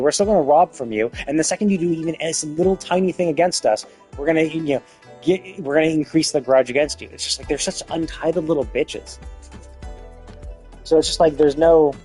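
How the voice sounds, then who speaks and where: Higher-pitched man ranting over music. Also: brisk, a little mellow. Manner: exasperated, heavy swearing. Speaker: game livestreamer. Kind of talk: casual ranting commentary on a game's story.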